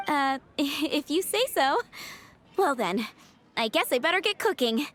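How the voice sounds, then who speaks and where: A polite young female cook, unsure and slow, with a high voice. It is an anime game performance with faint ambience behind. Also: animated, a bit bright, a little hiss or hum. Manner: hesitant. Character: polite, uneasy restaurant cook, young woman. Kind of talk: anime-style game voice acting.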